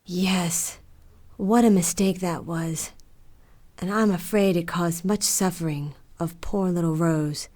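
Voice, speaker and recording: A woman playing a frail, strong-willed old nun in an audio drama, speaking earnestly and deliberately. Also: lowish voice, steady tone, warm tone. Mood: wistful.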